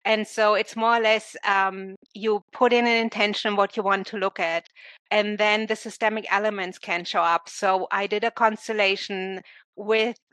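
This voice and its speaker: This is a woman telling her own story as a podcast guest. She speaks reflectively and often restarts her sentences. Her tone is bright and a little tense, and the recording is dry and close. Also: medium pitch, steady tone, moderate pace, dry recording, rough recording. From Germany. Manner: reflective, searching for words, frequent restarts. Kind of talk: personal conversational storytelling.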